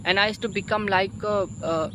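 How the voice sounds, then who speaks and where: High-pitched Indian man, halting English. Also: fairly even intonation, noisy background. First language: Hindi. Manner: halting, repetitive, emphatic, frequent "you know" and "okay" tags. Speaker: English-learning video host, learner. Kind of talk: informal spoken advice to viewers.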